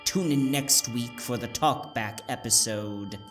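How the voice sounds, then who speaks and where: A man's voice: medium pitch, a little slow. A man hosting an audio drama anthology, delivering a scripted closing narration. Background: music.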